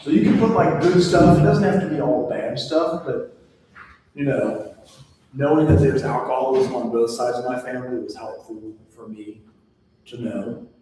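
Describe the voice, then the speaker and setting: A man's voice: mid-range voice, normal speed, dark tone, slight background noise. A man in his forties giving a casual classroom lecture with chatty personal asides. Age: 40s. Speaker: instructor. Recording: echoey hall recorded from far off.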